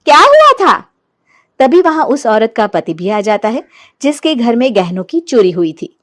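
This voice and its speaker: High-pitched, animated woman storyteller. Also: normal speed. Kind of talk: scripted narration of animated moral story.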